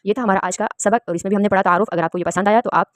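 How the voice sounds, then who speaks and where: A woman's voice: medium pitch, fairly even intonation, racing, no room sound, clean studio sound. A woman from Pakistan, teaching an Arabic lesson and patiently explaining each word. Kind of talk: spoken language lesson.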